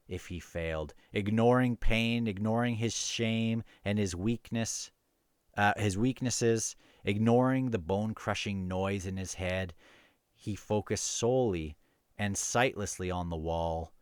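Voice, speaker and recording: A man hosting a casual book review, talking and reading passages aloud in a halting, self-correcting way. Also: lowish voice, smooth, dry recording, studio quality.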